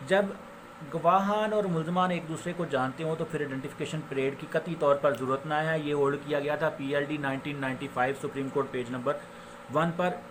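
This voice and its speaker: A man with a Pakistani accent and a slightly high voice teaches in an informal, explanatory lecture style. He mixes in English legal terms and slows down haltingly when reading them out. There is some background noise on the recording. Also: steady tone, rough recording. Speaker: law lecturer and advocate.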